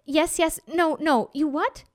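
A woman's voice: high voice, slow, no room sound, studio quality, voice pushed up. A woman voicing a storybook jackal, eager and befuddled, acting foolish but secretly clever, often repeating words. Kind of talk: storybook character voice.

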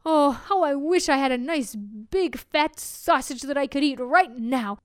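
A woman voicing a poor, hungry woodcutter who talks in a slow, bewildered way in a storybook reading. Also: sits high, expressive, no room sound, clean studio sound. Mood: dazed.

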